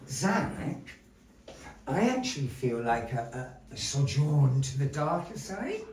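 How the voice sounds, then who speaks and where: A man's voice: medium pitch, sing-song, slow, a little mellow, a little hiss or hum. A man narrating a live puppet show in a theatrical, playful way, chatting with the audience between parts of the story. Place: gallery venue, live show. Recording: echoey room, mic at a distance.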